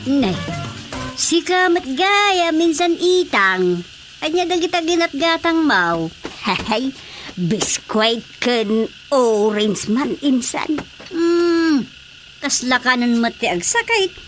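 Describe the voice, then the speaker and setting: A woman's voice: high-pitched, sing-song, slow pace, music in the background, heavily acted. An actress playing a worried woman who frets over a sick child, talking to herself in a radio drama. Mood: fretting. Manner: fretting, muttering to herself.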